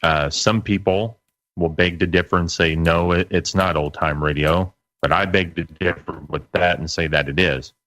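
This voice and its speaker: A man in his late forties with a distinctly deep voice, chatting as a guest on a show. He talks in a folksy, halting way, circling back over his phrases. The recording is very dry, without room sound. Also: fairly even intonation, a bit bright, dry recording. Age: late 40s. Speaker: guest, internet radio broadcaster. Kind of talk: casual interview chat.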